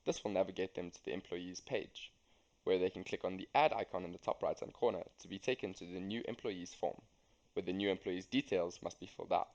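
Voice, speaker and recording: A young man reading a scripted software tutorial as a student demonstrator. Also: low voice, a little fast. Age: young adult.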